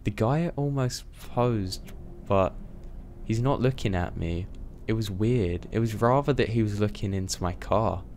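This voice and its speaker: A young man narrating a scary first-person story in a steady, scripted read. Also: on the low side, unhurried, a little hiss or hum.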